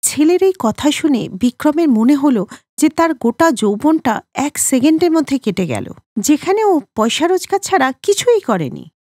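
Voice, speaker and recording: A woman's voice: high voice, very animated, normal speed, warm tone, dry recording, clean studio sound. A woman narrating a scripted cartoon story.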